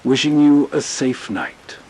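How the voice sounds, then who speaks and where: A man with a husky voice narrating gently and reflectively as a soothing, scripted nostalgia show host. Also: on the high side, steady tone, slow, a little hiss or hum, low-quality recording.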